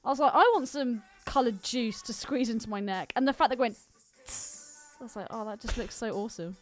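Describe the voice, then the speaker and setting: A woman's voice: on the high side, brisk, soft background music. A woman co-hosting a podcast, chatting casually about films in an informal, slangy, candid way. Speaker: podcast co-host. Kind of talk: casual film chat.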